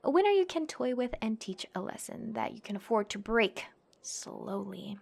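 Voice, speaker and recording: A woman's voice: on the high side, big pitch swings, moderate pace, gravelly, a little mellow, heavily acted. A young woman playing a tough, cocky tsundere classmate who fights MMA, brash, bossy and teasing, in an ASMR roleplay. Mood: menacing, cocky. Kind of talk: ASMR girlfriend roleplay acting.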